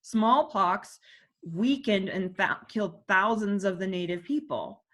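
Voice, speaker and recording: A woman's voice: mid-range voice, animated, a little slow. A woman teacher reading a textbook section aloud and stopping to explain terms and put questions to her class.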